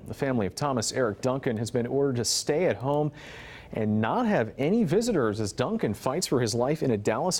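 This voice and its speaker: A man's voice: mid-range voice, darkish tone. An American man anchoring a newscast, reading stories and bantering casually with a co-anchor. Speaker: news anchor.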